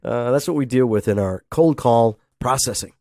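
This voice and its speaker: A man's voice: mid-range voice, moderate pace, mellow, no echo, clean studio sound. A man hosting a casual solo podcast, sounding nasal from a head cold, rambling and chuckling as he talks. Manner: rambling, chuckles often, acts out phone calls. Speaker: podcast host.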